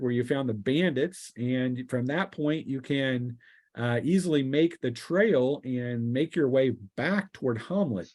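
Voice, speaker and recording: A man's voice: a little high, a little mellow. Man narrating as a game master. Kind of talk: narrating a tabletop fantasy game, conversational.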